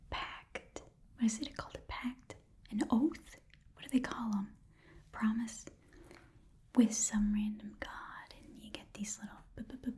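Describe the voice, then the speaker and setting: A woman's voice: medium pitch, dark tone, close to an everyday voice. Whispering, chatty goblin shopkeeper played by a woman. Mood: gossipy, musing. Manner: halting, rambling, repeats phrases. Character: chatty, eager female goblin pawn-shop keeper, haggling and scatterbrained. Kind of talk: whispered ASMR fantasy shopkeeper roleplay.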